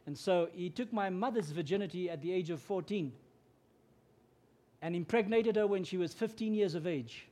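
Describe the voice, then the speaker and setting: A man's voice: high-pitched, moderate pace. Afrikaans-man preaching. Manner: earnest, grows louder and more emphatic when preaching. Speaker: guest preacher. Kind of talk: sermon.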